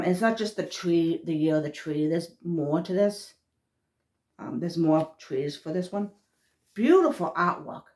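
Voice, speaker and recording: A woman's voice: sits low, darkish tone. A woman with a thick Massachusetts accent, chatting casually in a rambling vlog and often trailing off and restarting her words. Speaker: spiritual vlogger.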